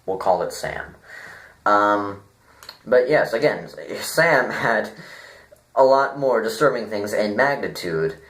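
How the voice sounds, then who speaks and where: A man giving a casual, unscripted review, halting and rambling as he thinks aloud. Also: fairly low pitch, animated, a bit bright, low-quality recording. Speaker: online story reviewer.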